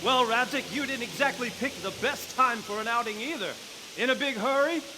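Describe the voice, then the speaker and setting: An actor playing a dry, dignified elderly medieval lord in a video game, calling out wryly. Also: male voice, very high-pitched, fairly quick, music in the background, low-quality recording. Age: older. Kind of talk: dramatic video game dialogue.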